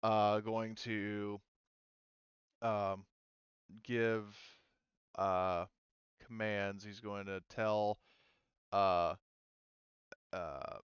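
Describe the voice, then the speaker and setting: A man running a tabletop roleplaying game as game master, explaining rules and thinking aloud in a halting way. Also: lowish voice, fairly even intonation, smooth, no room sound, dull audio.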